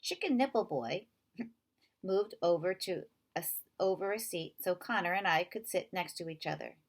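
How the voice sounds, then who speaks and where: A woman narrating a children's novel in an expressive read-aloud style. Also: on the low side, moderate pace.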